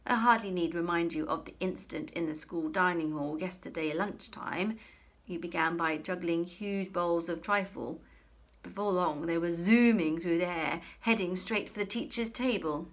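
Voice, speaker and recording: A woman voicing a pompous, furious headmistress who barks and booms her orders. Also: moderate pace. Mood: stern. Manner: barking, booming, curt. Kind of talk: storybook read-aloud.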